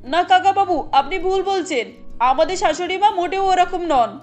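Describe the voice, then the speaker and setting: A woman's voice: very high-pitched, normal speed, darkish tone, faint music behind. A woman voicing a loyal, outspoken young daughter-in-law in a family cartoon, polite but firm. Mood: firm, defiant. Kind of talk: cartoon voice acting.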